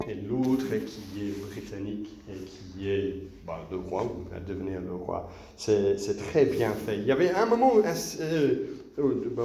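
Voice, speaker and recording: Male preacher, low voice, relaxed storyteller; roomy distant church sound with faint noise. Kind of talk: conversational sermon, retelling a film.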